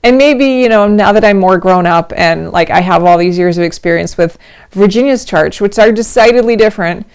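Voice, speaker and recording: A middle-aged woman, a little hoarse, hosting a casual livestream from home, chatty and rambling with frequent laughs. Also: medium pitch, moderate pace. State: slightly hoarse. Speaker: host of a knitting livestream.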